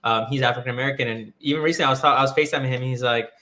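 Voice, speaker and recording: A man's voice: medium pitch, steady tone, very fast, pushed and bright. A man from Colombia talking loosely in a casual discussion, rambling with lots of "like" and restarts. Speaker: guest.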